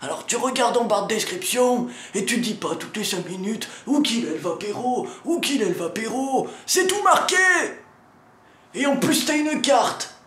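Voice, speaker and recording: A man's voice: sits high, animated, normal speed, a bit bright, echoey room. A man playing a grumpy, foul-mouthed old riddle-keeper from a lighthouse, grumbling and scolding the viewer through a sore throat. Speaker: channel host announcing meetups. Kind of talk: comic character sketch.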